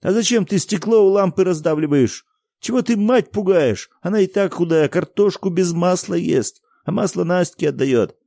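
A man voicing a defiant peasant boy who scolds his parents in a high, indignant voice. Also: expressive, normal speed, warm tone, no room sound, studio quality.

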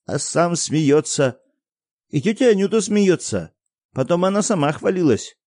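A man voicing a defiant peasant boy who scolds his parents in a high, indignant voice. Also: expressive, a little slow, a little mellow, no echo, studio quality. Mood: earnest, rambling.

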